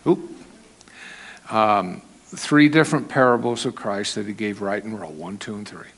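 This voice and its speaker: A man's voice: fairly low pitch, moderate pace, slightly rough. A man preaching a sermon into a microphone, in a conversational teaching manner with loose asides. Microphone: microphone with volume control.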